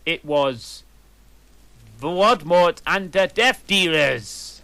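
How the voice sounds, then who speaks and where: Slow, sarcastic man reading aloud. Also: on the high side, animated, gravelly, pushed and bright, no room sound. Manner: mock-dramatic, sarcastic asides, reads typos literally. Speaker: reader of a fan story. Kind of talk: comic dramatic reading aloud.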